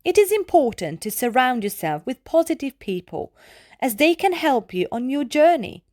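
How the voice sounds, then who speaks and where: A woman reading children's poetry aloud from a script in a measured, deliberate, clearly enunciated way. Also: sits high, very animated, a little mellow, dry recording, clean studio sound.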